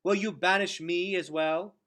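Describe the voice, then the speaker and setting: A man's voice: high voice, fairly even intonation, unhurried, a bit bright, voice pushed up. A man voicing a proud young female royal in a storybook, tight and emphatic as a challenger. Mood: indignant.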